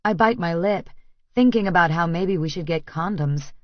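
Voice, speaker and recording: A female audiobook narrator with a fairly low voice reads scripted first-person romance. The sound is very dry and slightly dull, as if the highs were rolled off. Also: moderate pace, dry recording.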